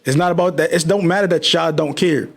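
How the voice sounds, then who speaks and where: A man co-hosting a talk show, arguing his point fast and heatedly in casual slang. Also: a little high, steady tone, vocal fry. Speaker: podcast co-host. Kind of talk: casual debate chat.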